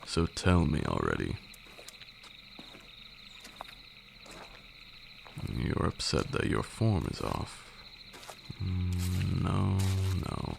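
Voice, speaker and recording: Male performer as condescending vampire lord, heavy gravelly creak, swooping intonation, archaic formal phrasing, stern teasing mentor, ASMR roleplay. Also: full character voice. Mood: impatient. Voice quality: very deep, gravelly. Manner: condescending, formal archaic speech, drawn-out delivery. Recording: steady noise with night insects. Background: crickets and night insect ambience.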